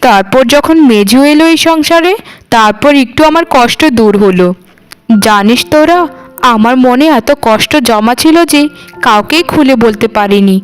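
A woman's voice: a little high, expressive, normal speed, clean tone, soft background music, close to an everyday voice, low-quality recording. A woman voicing a long-suffering elder sister-in-law in a cartoon, confiding in a plaintive way. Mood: weary. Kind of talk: animated cartoon dialogue.

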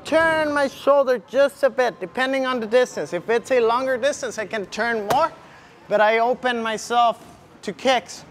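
A man's voice: extremely high pitch, normal speed, fairly bright tone. A young man working as a kickboxing coach, giving step-by-step instruction while demonstrating punches. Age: young adult. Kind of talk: instructional demonstration with short cues. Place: large indoor MMA gym. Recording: clearly audible background ambience noise.

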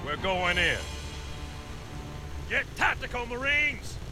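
Gravelly game drill sergeant, high and lively, barks and swaggers over a score. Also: male voice, slow pace, over music, exaggerated voice. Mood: rallying. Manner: barking, swaggering. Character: gruff, cocky male marine drill sergeant. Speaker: marine sergeant. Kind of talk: video game cutscene acting.

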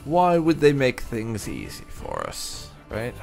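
A man giving casual commentary on a game playthrough, halting as he thinks aloud. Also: mid-range voice, wildly swooping pitch, creaky, darkish tone. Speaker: gaming video host. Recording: faint game music behind.

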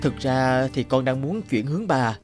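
A man's voice: medium pitch, expressive, normal speed, lightly acted. A man playing an earnest, determined young farmer son in a cartoon, explaining things in earnest. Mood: discouraged, explaining. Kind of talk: animated cartoon dialogue. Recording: background music under speech.

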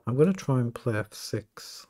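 A middle-aged man with a croaky voice, thinking aloud as he plays fast chess and comments on each move. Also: medium pitch, lively intonation, background noise. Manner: thinking aloud, muttering over moves. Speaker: chess streamer. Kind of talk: live game commentary.

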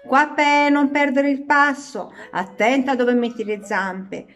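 A woman's voice: sits high, fairly even intonation, slow, fairly bright tone, voice pushed up. A woman voicing a watchful mother duck in a storybook, urging and instructing her young.

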